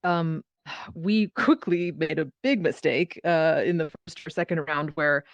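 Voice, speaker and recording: A woman's voice: sits low, a little slow, no room sound. A woman speaking informally as a panelist, telling stories animatedly in a casual discussion over online voice chat. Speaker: panelist, VR theatre performer and show host. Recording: dull lo-fi voice chat audio.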